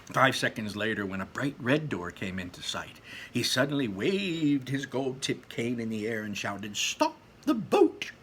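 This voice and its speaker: A man narrates a children's book in a lively, varied voice at a middle pitch. He leans dramatically on descriptive words and speaks casually in his asides. There is faint noise in the recording. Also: normal speed. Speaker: read-aloud storyteller.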